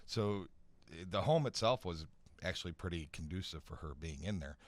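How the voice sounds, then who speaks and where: A man speaking informally as an expert guest in a radio interview, explaining haltingly, repeating phrases and often checking for agreement. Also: low-pitched, expressive, dry recording. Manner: hesitant explaining, repeats phrases, seeks agreement with "right?" and "you know". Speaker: guest expert on home accessibility. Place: radio studio.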